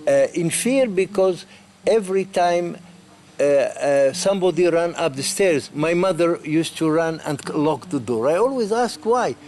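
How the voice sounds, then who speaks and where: An older man from Morocco recalling his childhood in a documentary interview, speaking earnestly and haltingly. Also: fairly high pitch, animated, darkish tone, faint music behind.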